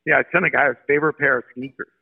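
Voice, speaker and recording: A man from Brooklyn, a guest in a podcast interview, telling brisk, animated stories. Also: mid-range voice, creaky, husky. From Brooklyn, New York. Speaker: sports marketing guest. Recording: tinny phone line.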